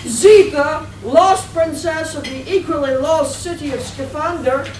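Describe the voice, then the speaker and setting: A woman's voice: high-pitched, measured pace. A woman narrating a live radio play as compere, in a theatrical, declamatory manner. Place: convention hall before an audience. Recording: echoey, noisy live hall, distant mic.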